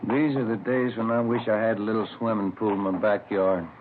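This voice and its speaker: An American actor playing an easygoing, chatty police detective sidekick with a folksy, casual manner in a 1950s radio crime drama. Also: male voice, lowish voice, little pitch movement, brisk, lightly acted. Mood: chatty, wistful. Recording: tinny, noisy old radio broadcast recording.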